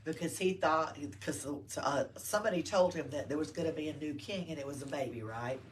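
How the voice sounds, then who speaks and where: A woman reads aloud to children in a teacherly, expressive way, pausing to ask questions. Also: sits low. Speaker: library story-time reader. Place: library room with children. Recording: faint background room noise.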